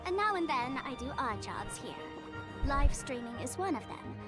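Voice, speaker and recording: Game role: teasing young streamer, high pitch, breezy sardonic delivery, music underneath. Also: female voice, very animated, normal speed. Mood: confiding. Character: sly, casual young female streamer trickster, teasing and nonchalant. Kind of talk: video game character acting.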